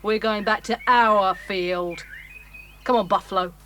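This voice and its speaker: An actress playing a blunt, clannish, unfriendly travelling fairground performer in an audio drama. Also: female voice, mid-range voice, fairly even intonation, measured pace, pushed and bright, slight background noise. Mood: hostile, dismissive. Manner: blunt, dismissive.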